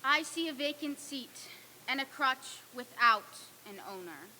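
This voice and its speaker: A stern ghostly spirit played by a woman, high and very bright, declaiming in a live radio play. The words come slowly in a long hall reverb. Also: animated, full character voice. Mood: stern, ominous. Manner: slow, declamatory. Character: stern, commanding Christmas ghost guiding an old miser. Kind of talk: old time radio stage reading. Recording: reverberant hall, faint hiss.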